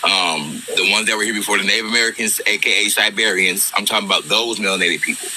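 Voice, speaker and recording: A man's voice: fairly low pitch, fast talker, sharp tone, a little hiss or hum, poor audio. A man calling in to a talk show, stumbling and repeating words as he makes his point. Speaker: caller. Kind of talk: call-in talk.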